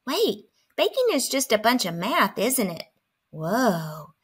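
Woman acting storybook skeptic, fairly high voice, grumpy, dramatic, complaining, exclamatory. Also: sing-song, takes it slowly, fairly bright tone, breathy edge, full character voice. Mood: musing. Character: grumpy, dramatic math skeptic who loves treats.

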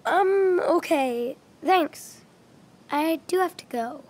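A timid ten-year-old boy who keeps his answers short and evasive. His voice sits high and he speaks slowly, pausing and stammering over his words. It is a video game performance. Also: lively intonation, exaggerated voice. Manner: hesitant, halting, quiet.

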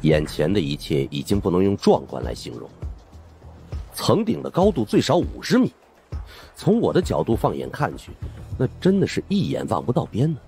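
A man's voice: on the low side, huge pitch swings, moderate pace, gravelly, darkish tone, soft background music. A man narrates suspense fiction as a dramatized first-person audiobook storyteller.

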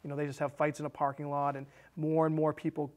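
An American man in his thirties talks through a movie in a casual, conversational way. His voice sits a little higher than average, and he tends to circle back and repeat himself as he explains. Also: monotone, fast talker, a little mellow. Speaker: film discussion show co-host. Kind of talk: casual conversational film recap. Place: small indoor studio set with curtain.

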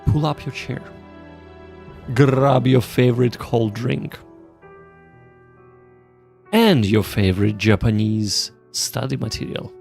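A man with a gravelly, creaky edge to his voice hosts a casual study livestream. He talks straight to his viewers in a medium pitch, pausing and circling back over phrases as he explains. Soft music plays faintly behind him. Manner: halting, repeats himself, addresses viewers directly. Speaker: livestream host of a Japanese study session.

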